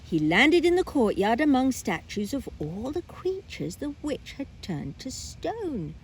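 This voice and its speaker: A woman narrating a children's story aloud in an expressive, dramatic style with deliberate phrasing. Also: high voice, slightly rough, rough recording.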